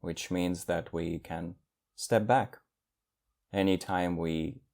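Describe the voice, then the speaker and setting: Deep-voiced man guiding a meditation. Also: steady tone, creaky, darkish tone. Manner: measured, instructional, with long pauses between phrases. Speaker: meditation teacher. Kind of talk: guided meditation.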